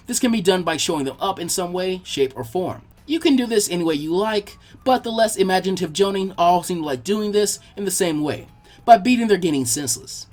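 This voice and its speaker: Animated male story narrator over music. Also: sits high, brisk. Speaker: fan fiction narrator. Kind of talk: expressive scripted story narration.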